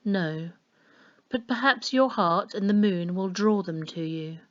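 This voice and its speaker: A woman voicing a gentle, solemn fortune-teller in a storybook, murmuring slowly and knowingly. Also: medium pitch, lo-fi sound, natural voice. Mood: soothing, wistful. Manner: gentle, murmuring, slow and portentous. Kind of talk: children's storybook reading.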